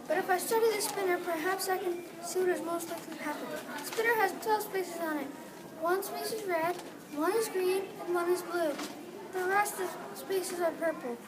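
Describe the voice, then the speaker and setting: A female reader playing an eager, studious young daughter in a readers theatre play. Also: very high voice. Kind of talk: readers theatre, reading from a script. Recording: distant mic, loud noisy room chatter.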